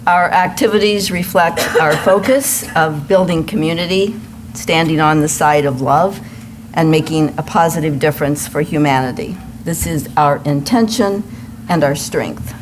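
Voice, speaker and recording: A woman speaking as a worship associate, giving a measured, formal welcome and announcements to a congregation in a noisy live room recording. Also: low voice. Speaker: worship associate at a church service.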